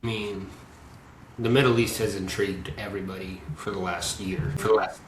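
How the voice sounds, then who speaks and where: A man guesting on a podcast, talking casually and pausing to search for words. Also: low-pitched, fairly even intonation. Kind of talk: casual chat. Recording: echoey room with background noise.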